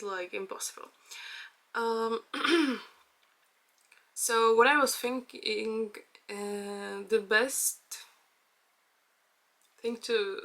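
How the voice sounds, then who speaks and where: A woman's voice: fairly high pitch, fairly bright tone. A young woman from the Czech Republic with a Czech accent, speaking English in a hesitant personal vlog, with many fillers and small laughs. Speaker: vlogger. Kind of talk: casual personal chat.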